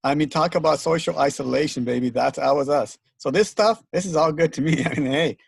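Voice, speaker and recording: 50ish guy from mexico, gravelly, dry sound, easygoing and laughy. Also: on the high side, fairly quick, no echo. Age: 50s. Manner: laid-back, laughs easily. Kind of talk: casual chat on a video call.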